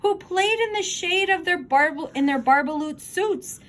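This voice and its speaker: A woman reading a storybook aloud, voicing a bossy, indignant little old male forest creature with a sharp, emphatic delivery. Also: extremely high pitch, lively intonation, unhurried, voice pushed up, full character voice. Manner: bossy, emphatic, pleading outbursts.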